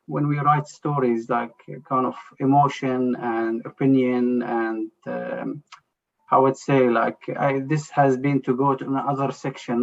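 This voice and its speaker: A man answers interview questions in a gravelly, creaky voice. He talks haltingly, restarting often and leaning on "you know". The sound is dull and lo-fi. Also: medium pitch. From Jerusalem. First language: Arabic. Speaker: journalist guest.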